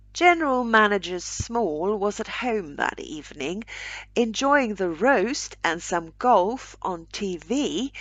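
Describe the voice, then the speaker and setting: A woman narrates a scripted short story at a slow, deliberate pace. Her pitch moves in lively swings, giving the reading a measured, wry feel. The sound is dry and close, with little room around the voice. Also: no room sound.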